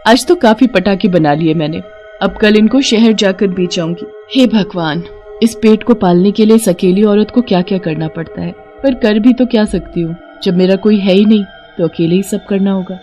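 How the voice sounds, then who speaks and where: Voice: mid-range voice, moderate pace, darkish tone, faint music behind, lightly acted. A narrator voicing a weary village woman who lives alone, grumbling in a Hindi cartoon story.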